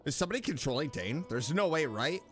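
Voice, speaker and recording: Guy streaming a game, slightly high voice, really animated, chatty reactions, music playing behind. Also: fast talker. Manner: expressive, reacts aloud, talks to the characters. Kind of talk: casual live gameplay commentary.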